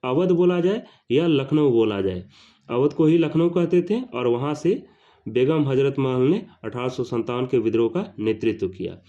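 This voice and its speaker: A man with a mellow, dark voice teaches exam questions and answers. He sits at a middling pitch and repeats each point for emphasis. The recording is slightly dull and lo-fi. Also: expressive, normal speed.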